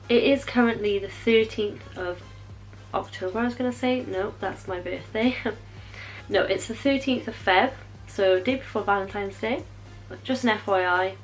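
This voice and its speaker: A woman's voice: mid-range voice, poor audio. A young woman, chatting to camera in a casual vlog, bubbly and rambling, correcting herself as she goes. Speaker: beauty vlogger.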